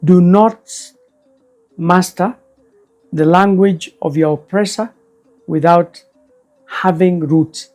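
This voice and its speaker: Slow-spoken older man, mellow voice. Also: high-pitched, faint music behind. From Kenya. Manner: slow, measured, deliberate. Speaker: elder guest storyteller. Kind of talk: reflective storytelling interview.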